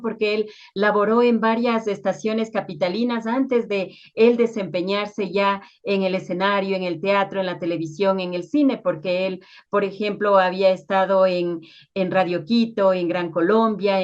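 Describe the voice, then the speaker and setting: A woman's voice: medium pitch, a little mellow, highs cut off. A woman hosting an interview in a courteous, formal way. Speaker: interviewer and show host.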